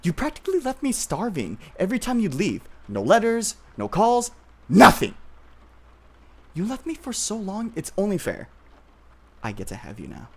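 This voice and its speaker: A man playing an obsessive, tender but possessive childhood-friend boyfriend in an ASMR roleplay, speaking softly and hesitantly with nervous pauses. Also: high voice, huge pitch swings, breathy edge, full character voice. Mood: wounded, desperate.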